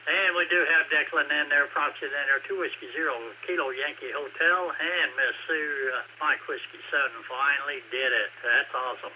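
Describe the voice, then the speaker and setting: A man from Oklahoma hosting a ham radio net as net control, friendly and relaxed, with lots of uhs. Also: on the high side, moderate pace, hardly any pauses. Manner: friendly and relaxed, with many fillers. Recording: tinny radio transmission with faint hiss.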